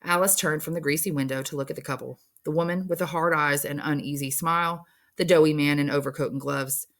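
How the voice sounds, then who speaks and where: A woman's voice: sits low, fairly even intonation, fairly quick, fairly bright tone. A woman narrating a novel aloud as an English teacher would, reading scripted storybook prose.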